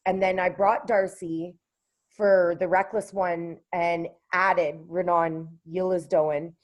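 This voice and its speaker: Low-voiced woman chatting about her music. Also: level tone, takes it slowly, highs cut off. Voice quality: low contralto voice. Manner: hesitant, with many fillers and restarts. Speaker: singer-songwriter guest. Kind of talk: casual interview chat.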